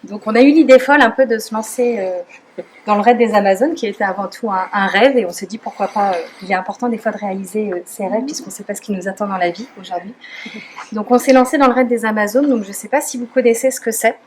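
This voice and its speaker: A woman's voice: mid-range voice, fast, a little hiss or hum, low-quality recording. Woman presenting with "voilà"s. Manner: a bit unprepared, says "voilà" often. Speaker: charity race participant. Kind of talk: presenting a project to an audience.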